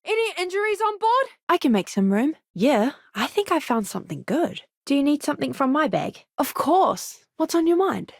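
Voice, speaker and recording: A woman's voice: high voice, big pitch swings, moderate pace, hardly any pauses, no room sound, clean studio sound. A woman voicing an eager, curious young botanist and doctor in a spaceship crew for a video game, with an earnest, polite manner. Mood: friendly, eager.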